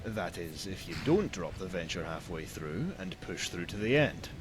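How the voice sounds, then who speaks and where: A man's voice: low-pitched, sing-song, moderate pace, music in the background. A man playing a weary, wry and rambling wagon merchant in a fantasy video game. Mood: wry, urging.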